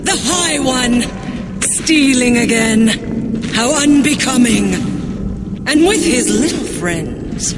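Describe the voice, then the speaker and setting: A woman's voice: sits high, lively intonation, slow pace, very noisy. A woman playing a haughty, mocking villainess and cruel stepmother in a video game cutscene, with a taunting, disdainful delivery. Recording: loud music behind the voice.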